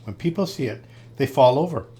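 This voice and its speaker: A man's voice: medium pitch, animated, brisk, dark tone, close to an everyday voice. A man voicing a mischievous, bold young boy in a storybook, matter-of-fact in manner.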